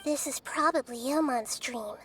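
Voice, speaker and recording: Woman as a high-voiced, calm child deity. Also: moderate pace, over loud music, natural voice. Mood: calm, matter-of-fact. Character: childlike female deity, small, calm, wise and gentle.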